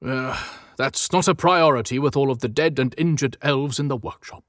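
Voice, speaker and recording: A man's voice: medium pitch, moderate pace, a bit bright, no echo, clean studio sound, lightly acted. A man playing a serious, weary Santa Claus in an audiobook drama, grave and questioning. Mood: grim, impatient.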